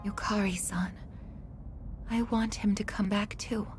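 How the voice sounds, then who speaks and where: An actress playing a gentle, earnest young female android, polite and careful, in a dramatic video game scene. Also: mid-range voice, steady tone, measured pace, over music, close to an everyday voice. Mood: soft, sincere.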